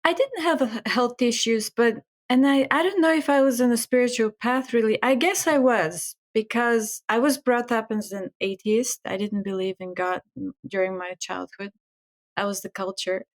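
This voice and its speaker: A woman's voice: fairly high pitch, moderate pace. A middle-aged woman from Bulgaria with a Bulgarian accent, a guest telling her story earnestly in an interview and laughing often. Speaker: guest chef and author.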